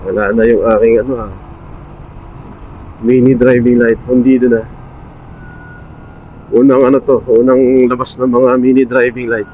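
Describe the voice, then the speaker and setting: A man's voice: mid-range voice, flat delivery, moderate pace, long pauses. Filipino man on a muffled helmet intercom. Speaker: motorcycle vlogger. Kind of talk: casual vlog chat while riding. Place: outdoors on a motorcycle at night, in traffic. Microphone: helmet intercom mic under the visor. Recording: dull intercom mic with road noise. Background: faint vehicle and road noise.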